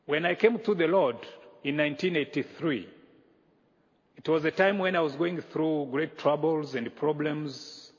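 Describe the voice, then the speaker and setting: Preacher from Rwanda in an echoey church. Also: male voice, on the high side, husky. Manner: measured, earnest delivery with pauses. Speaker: guest pastor. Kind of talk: sermon. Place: church sanctuary. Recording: echoey hall, dull lo-fi sound.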